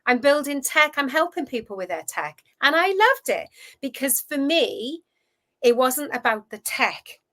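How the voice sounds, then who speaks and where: Welsh woman, 50s, interview guest; slightly high, lively storyteller voice. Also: a little slow. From Wales. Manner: chatty, animated storyteller with frequent restarts. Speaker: guest, food relationship coach. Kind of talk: casual interview chat.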